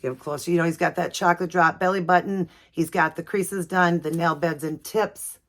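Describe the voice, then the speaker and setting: Low, raspy woman. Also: fairly even intonation, fairly quick, fairly bright tone. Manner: chatty with many fillers. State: raspy from allergies. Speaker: doll channel host. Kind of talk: casual video chat.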